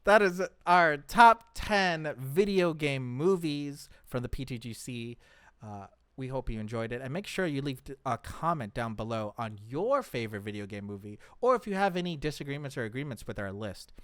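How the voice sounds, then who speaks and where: A man's voice: mid-range voice, expressive, moderate pace, fairly bright tone, dry recording. A man hosting a casual group discussion on a podcast, talking in a conversational way. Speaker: podcast host.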